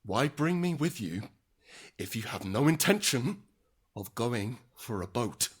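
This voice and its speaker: A man's voice: medium pitch, big pitch swings, a little slow, husky. A man acting a desperate, anguished middle-aged father in a post-apocalyptic audio drama, narrating in the first person with raw intensity. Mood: bitter, tense. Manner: intense, emotionally raw, sweary. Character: desperate, anguished father surviving an apocalypse, haunted and guilt-ridden.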